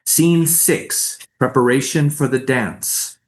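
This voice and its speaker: Man reading stage directions, mid-pitched. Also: slow.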